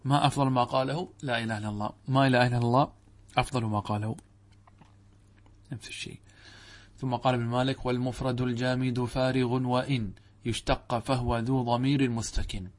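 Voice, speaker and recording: A man's voice: mid-range voice, steady tone, quick pace. A man teaching Arabic grammar to students, posing questions to the class and answering them himself, reciting quoted text. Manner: addresses students directly, checks understanding.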